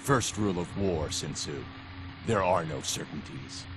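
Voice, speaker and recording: A man playing a stern, terse masked vigilante hero in a video game, with loud music over him. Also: deep voice, animated, unhurried, background noise. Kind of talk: video game dramatic acting.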